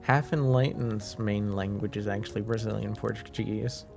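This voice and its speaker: A man whose first language is French, chatting casually to livestream viewers in a hesitant, halting way, sounding slightly under the weather. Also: on the low side, fairly even intonation, vocal fry. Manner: hesitant, halting, often trailing off. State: slightly under the weather, deeper than usual, clearing throat. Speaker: live streamer, host. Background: faint music.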